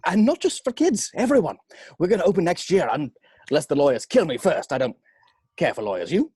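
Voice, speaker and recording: A man's voice: a little high, sing-song, a little fast, mellow, breathy edge, no room sound, clean studio sound. A man playing a charming, brash seventy-year-old tycoon in a script table read, with a jovial, showy delivery. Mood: boastful, confiding.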